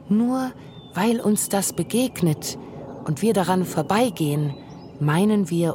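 A woman's voice: lowish voice, warm tone, slight background noise. An actress playing a pensive, disillusioned woman in a literary radio drama monologue, reflective and insistent.

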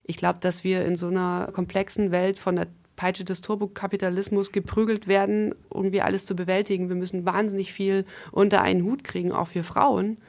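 A woman's voice: mid-range voice, flat delivery, fairly quick, hardly any pauses, a little mellow. A woman being interviewed, giving her opinion in a conversational style.

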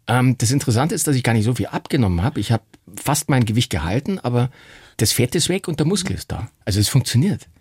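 A man's voice: mid-range voice, big pitch swings, fast, a little mellow, breathy edge, no echo. A man in his fifties, chatting casually as a guest, laughing often and poking fun at himself. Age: 50s. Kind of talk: casual chat.

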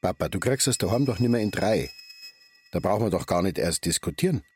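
An actor playing an exasperated grown-up son with a Bavarian accent in a radio drama. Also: male voice, low voice, lively intonation, a little fast, darkish tone. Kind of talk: radio drama dialogue and narration.

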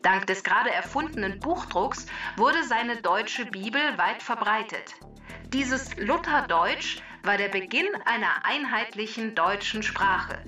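Jackie, a woman voicing a bright know-it-all computer helper. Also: mid-range voice, expressive, measured pace. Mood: neutral. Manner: clear, precise, matter-of-fact. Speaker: explainer character on a children's show. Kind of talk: scripted educational narration. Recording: distant voice over background music.

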